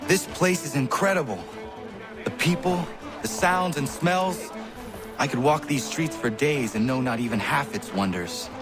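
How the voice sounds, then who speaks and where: A man's voice: mid-range voice, measured pace, close to an everyday voice. An actor playing an earnest, curious young man in a video game, plain-spoken and idealistic. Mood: wonder. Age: young adult. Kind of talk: video game cutscene acting. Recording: music in background.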